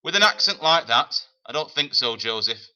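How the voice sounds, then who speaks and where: A man reading a storybook, voicing a hard-faced older woman with a gruff, firm manner. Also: mid-range voice, expressive, normal speed, sharp tone, voice pushed up. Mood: stern. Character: sharp-tongued older woman, hard and gravelly.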